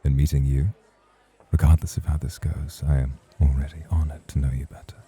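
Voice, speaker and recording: A man's voice: a bass voice, little pitch movement, fairly quick, mellow, breathy edge, heavily acted. A man playing a suave, patient nightclub host in an ASMR roleplay, speaking in a formal, courtly, flirtatious way. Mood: suave, intrigued. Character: suave, patient male nightclub host, teasing rival charmer.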